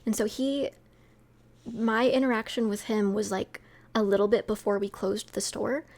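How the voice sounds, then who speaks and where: A woman's voice: mid-range voice, level tone, moderate pace, darkish tone. A young woman with a sweet voice, chatting casually with her stream viewers, rambling with lots of fillers and light laughs.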